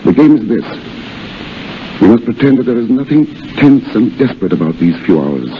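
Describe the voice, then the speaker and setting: A man's voice: mid-range voice, very animated, normal speed, slight background noise, close to an everyday voice. A man playing a tender, earnest young army officer in a 1930s radio drama, speaking with clipped dramatic delivery. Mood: tender, urgent. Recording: muffled old 1930s radio recording with music.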